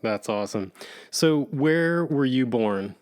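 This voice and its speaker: A man's voice: medium pitch, animated, takes it slowly, darkish tone. A man hosting a podcast, asking questions in an easygoing way with casual slang. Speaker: podcast host. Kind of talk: casual interview.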